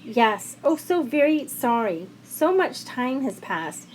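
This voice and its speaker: A woman's voice: on the high side, big pitch swings, slow, a little hiss or hum, voice pushed up, rough recording. Woman acting emphatic, frank female visitor. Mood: apologetic. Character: frank, courteous woman visitor from far away.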